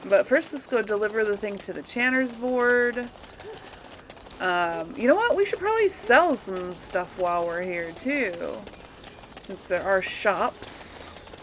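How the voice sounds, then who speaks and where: A woman giving casual game commentary as she plays, musing and thinking out loud to her audience. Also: mid-range voice, lively intonation, takes it slowly, a little hiss or hum, poor audio. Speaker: gamer, host of a role-play let's play.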